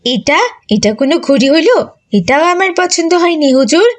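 A spoiled little cartoon girl, put on by a woman, very high in pitch. She is stubborn and greedy, petulantly demanding more. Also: lively intonation, normal speed. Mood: disgruntled. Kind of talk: cartoon dialogue.

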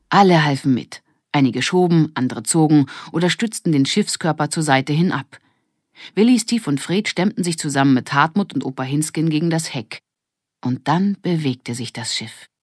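A woman's voice: low-pitched, husky, no echo, studio quality. A woman narrating a children's audiobook in a scripted storytelling style.

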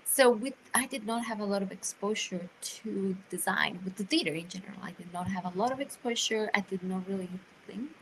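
A woman in her thirties from Costa Rica, a scenographer speaking on a video call panel, animated and quick to laugh. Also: mid-range voice, noisy background. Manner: animated, laughing, restarts sentences. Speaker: scenographer and costume design professor. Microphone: laptop webcam on video call.

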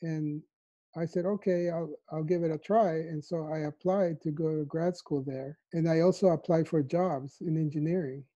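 Man from El Salvador, fifties, soft thoughtful interviewee with a slightly high, very mellow voice on dull lo-fi call audio. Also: fairly even intonation, moderate pace. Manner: soft-spoken, thoughtful, with frequent fillers. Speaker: mathematician interviewee. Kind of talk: reflective interview answers. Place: home living room. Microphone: lapel mic on a video call.

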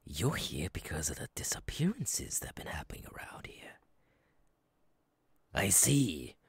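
A man voicing a creepy, gleeful monster circus clown in an ASMR roleplay, raspy and breathy, theatrical, lurching between whispers and loud bursts. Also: sits low, wildly swooping pitch, a little slow, no room sound, full character voice. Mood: sinister contempt.

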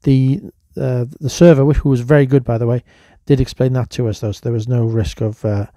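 A man talks through a casual vlog review. His voice is mellow and relaxed, sitting at an ordinary middle pitch. He narrates informally, as a reviewer sharing his own opinions. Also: brisk, no room sound, clean studio sound. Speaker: vlogger, restaurant reviewer.

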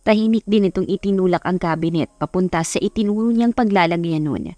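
A woman's voice: on the low side, fairly quick, mellow, no room sound. Female audiobook narrator for romance fiction, mid-range voice, dry recording with music bed. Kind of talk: scripted romance story narration. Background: background music.

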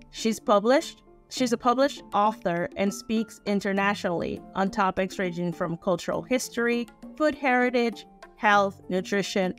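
A woman hosting a podcast reads a guest introduction over a headset on a video call. Her voice sits at a middle pitch, steady and even, with faint music under it. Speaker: podcast host. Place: small home room.

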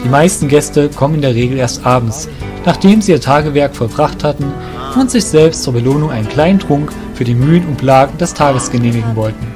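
A man's voice: medium pitch, lively intonation, a little fast, music in the background. A man reading scripted narration for a fantasy audio drama.

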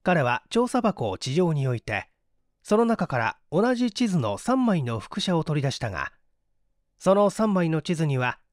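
A man's voice: fairly high pitch, very animated, moderate pace, no echo, clean studio sound. A man reading a mystery story as scripted narration, with a dramatic manner that acts out the dialogue. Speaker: audiobook reader, stage actor.

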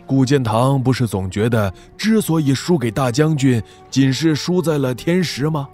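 A man's voice: mid-range voice, animated, moderate pace, a little mellow, faint music behind, close to an everyday voice. A man playing a shrewd, wry military strategist in a wuxia audio drama, speaking in an analytical, sardonic way. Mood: wry, amused. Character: shrewd, wry male military strategist weighing rival commanders.

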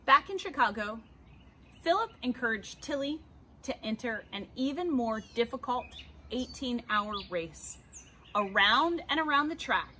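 An American woman narrates a children's picture book in a fairly high, very lively voice. She takes her time, leaning dramatically on key words. She is recorded outdoors with some background noise. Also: measured pace. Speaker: read-aloud storyteller. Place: outdoors beside a house.

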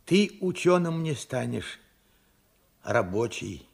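An actor playing a frank, weary man in his late seventies in a radio drama, with a gravelly, husky voice and a halting, reflective manner. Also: medium pitch, sing-song, full character voice. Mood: blunt. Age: 70s. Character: weary, frank 78-year-old Russian worker recalling his life. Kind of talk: Soviet radio drama acting.

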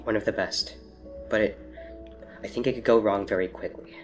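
A pedantic, guarded researcher played by a male actor in an audio drama has a decidedly low voice. He chooses words formally and carefully, with anxious hesitations, over faint music. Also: steady tone, moderate pace, natural voice. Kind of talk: naturalistic audio drama dialogue.

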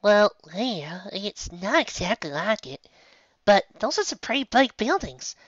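A man's voice: high voice, very animated, a little slow, fairly bright tone, no echo, dull audio, voice pushed up, heavily acted. A man voicing a casual young woman chatting in a fan storybook reading. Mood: musing.

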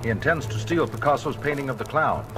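A commanding masked crimefighter acted by a man speaks with formal, grave authority. The delivery is clipped radio-drama heroics at a middle pitch, set over bold music on a vintage story record. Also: fairly quick, close to an everyday voice. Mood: serious, formal. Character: adult male superhero crimefighter, commanding and grave. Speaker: voice actor.

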